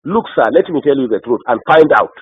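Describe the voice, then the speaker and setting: A man's voice: mid-range voice, lively intonation, fast, slightly rough. A man from northern Nigeria with a northern Nigerian accent, arguing politics insistently on a phone call, holding the floor and checking that his listener follows. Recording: echoey, band-limited phone call recording.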